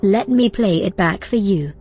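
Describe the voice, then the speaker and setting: A woman playing an AI companion, speaking calmly, precisely and reassuringly. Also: mid-range voice, measured pace, slightly rough, faint music behind. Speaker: AI companion character. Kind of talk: audio drama acting.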